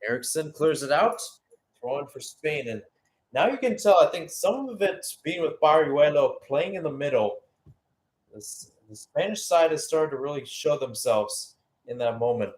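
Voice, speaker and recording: A man's voice: fairly high pitch, expressive, moderate pace. A man giving live radio-style football commentary as the play-by-play caller, conversational and thinking aloud between bursts of calling the action.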